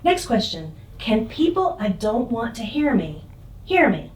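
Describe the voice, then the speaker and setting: A woman's voice: on the high side, very animated, a little slow, a little hiss or hum. A woman acting as interviewer, casually asking simple beginner questions, recorded with a roomy echo. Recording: roomy, echoing voice pickup.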